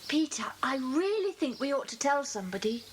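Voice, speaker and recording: A woman's voice: high voice, very animated, a little fast. A woman playing an earnest young child detective, thoughtful and eager, in an audiobook dramatisation. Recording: hissy old cassette dramatisation.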